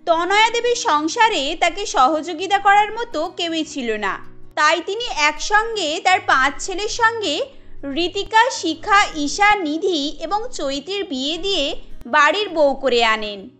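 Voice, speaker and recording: A woman's voice: extremely high pitch, expressive, a little slow, sharp tone, soft background music. A woman reading scripted narration for a storybook cartoon.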